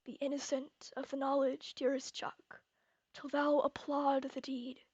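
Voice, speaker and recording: A young woman acting a brooding, tormented, murder-plotting king in Shakespearean verse, moving between hushed and declamatory delivery. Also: high voice, level tone, unhurried, no echo, lo-fi sound. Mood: brooding, secretive. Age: young adult.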